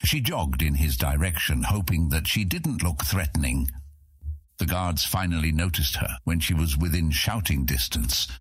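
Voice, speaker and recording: A man narrates a fantasy audiobook in an extremely deep voice. The tone is husky and the recording is very dry and close. It is scripted storytelling. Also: expressive, moderate pace, dry recording.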